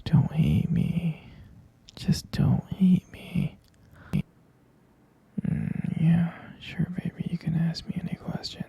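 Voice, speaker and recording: A man voicing an obsessive, possessive young boyfriend who keeps his partner captive, talking in a soft, coaxing whisper close to the mic. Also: fairly high pitch, very animated, slow, gravelly, pauses often, husky, heavily acted. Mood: sweetly obsessive. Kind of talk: whispered ASMR roleplay.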